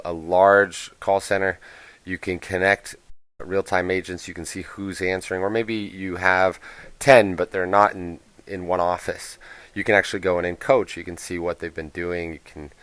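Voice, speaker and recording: A man presenting a casual software walkthrough in a plain-spoken, explanatory, off-the-cuff way. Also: deep voice, flat delivery, normal speed, clear, resonant tone, no echo.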